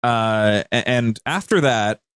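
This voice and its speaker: A young man chatting casually as a podcast guest, telling his anecdotes in an animated, slangy way. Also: mid-range voice, slow, clean tone, no room sound, clean studio sound. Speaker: podcast guest, audio drama creator. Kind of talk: casual interview chat.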